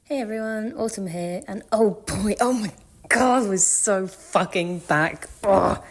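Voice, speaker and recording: A woman's voice: mid-range voice, measured pace. A woman leaving a casual fan voice message, gushing and swearing freely. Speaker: listener sending a voice message.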